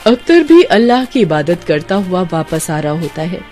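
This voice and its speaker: Female narrator, scripted cartoon storytelling, lowish pitch, background music. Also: sing-song, normal speed, a little mellow.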